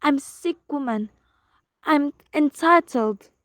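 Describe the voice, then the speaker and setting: Woman doing high voiced nervy sick wife in a radio play, pleading and demanding, drags her words out. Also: slow, a bit bright, no echo, clean studio sound. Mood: distraught, self-pitying. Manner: dramatic, fretful, pleading. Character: anxious, demanding invalid wife alone at night.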